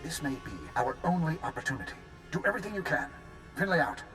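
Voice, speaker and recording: An actor playing a grave, urgent colonel in a video game, speaking in an earnest, commanding way. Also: male voice, a little high, expressive, quick pace, over music, lightly acted. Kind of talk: video game cutscene acting.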